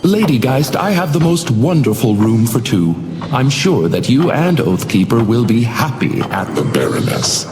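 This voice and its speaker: A man's voice: medium pitch, sing-song, normal speed, background noise, natural voice. A man voicing an uncanny, suavely menacing hotel doorman in a video game, theatrical and unctuously polite, with insinuating courtesy. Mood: courteous, insinuating. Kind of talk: scripted video game character lines. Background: music.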